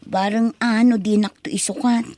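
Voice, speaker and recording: A woman plays a dutiful grown daughter in a comedy radio drama. Her voice sits fairly high, in a dry studio-like sound. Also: steady tone, normal speed, mellow, dry recording, close to an everyday voice. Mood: questioning.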